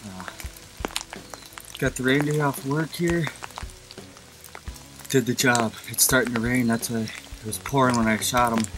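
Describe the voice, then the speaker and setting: A man in his thirties filming himself on a hunt in a rainy cedar swamp, talking quietly into a nearby camera. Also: rough recording. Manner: hushed, low-key field commentary. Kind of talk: self-filmed outdoor vlog. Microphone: camera on a tripod at close range. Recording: outdoor camera mic with rain noise.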